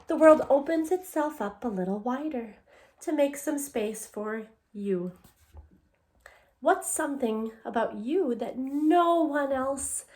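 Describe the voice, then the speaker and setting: A woman with a fairly high voice speaks very slowly, as a teacher does with young children. She phrases things with care and emphasis, encouraging her listeners and talking to them directly. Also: darkish tone. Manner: encouraging, asks questions and answers them. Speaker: elementary teacher reading a picture book aloud.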